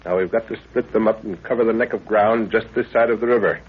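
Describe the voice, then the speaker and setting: An actor playing a middle-aged commander and fond father, brisk and authoritative, in a 1930s radio drama. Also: on the low side, fairly even intonation, slightly rough. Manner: brisk, authoritative, clipped orders. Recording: tinny, muffled 1930s radio recording.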